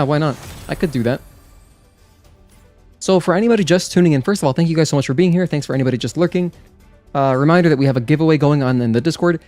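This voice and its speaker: A man's voice: fairly high pitch, darkish tone. A man whose first language is Spanish, talking casually and in a friendly way to viewers during a live gaming stream, with many fillers. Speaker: gaming livestreamer. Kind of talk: casual live-stream chat while playing.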